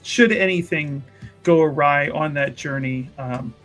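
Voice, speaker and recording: A man's voice: a little high, a little slow, a bit bright, faint music behind. A man playing a tabletop roleplaying game, improvising narration for his character in a casual, wry, conversational way.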